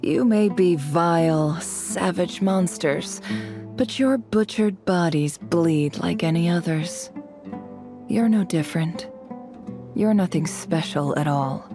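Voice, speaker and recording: A woman playing a cold, cunning young female avenger in a video game, speaking in a smooth, measured, disdainful way. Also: fairly low pitch. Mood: bitter, gloating.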